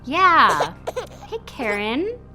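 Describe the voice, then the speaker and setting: A woman playing a brisk, inquisitive doctor in a radio drama, with a clinical, questioning manner. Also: a little high, huge pitch swings, music in the background, exaggerated voice. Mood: brisk, pleased. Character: brisk, inquisitive female doctor in a secret laboratory.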